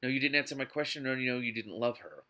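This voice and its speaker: A man's voice: mid-range voice, expressive, fast talker, sharp tone. A man reading the part of a hesitant, lovestruck young woman from a novel. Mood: questioning.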